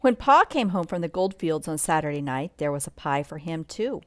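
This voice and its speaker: Lower-pitched woman, storybook read-along, expressive narration, close dry sound. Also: moderate pace, no echo. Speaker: storybook read-aloud reader. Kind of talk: bedtime story read-along narration with asides about pictures.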